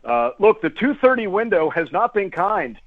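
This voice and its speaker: A man's voice: sits high, big pitch swings, normal speed. A man who works as a sports broadcaster, giving conversational analysis as a radio guest over the phone, emphatically repeating phrases. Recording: tinny, phone-like guest line.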